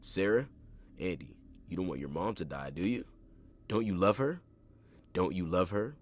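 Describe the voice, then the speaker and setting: A man's voice: deep voice, animated, normal speed. A man voicing a menacing male killer who coaxes and threatens over and over. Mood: wheedling menace. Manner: coaxing then threatening, repetitive. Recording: clear background noise.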